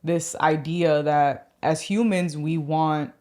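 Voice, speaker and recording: A woman's voice: sits low, steady tone, slow, darkish tone. A woman from the Bronx, talking casually as a podcast guest, full of filler words and restarts. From Bronx, New York. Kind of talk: casual conversation.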